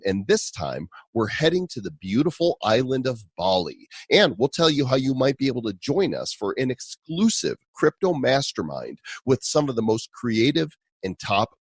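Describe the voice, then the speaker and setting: A man's voice: medium pitch, slightly rough, dry recording. A man with a Chicago accent co-hosting a podcast, reading ads and chatting in a hammy, playful way. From Illinois. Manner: playful, hammy, swaps air and ear sounds. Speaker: podcast co-host. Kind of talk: casual podcast chat and ad reads.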